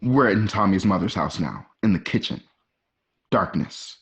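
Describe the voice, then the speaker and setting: A man's voice: sits low, lively intonation, measured pace, fairly bright tone. A man narrating an amateur radio play, reading out screenplay stage directions. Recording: dull lo-fi home recording.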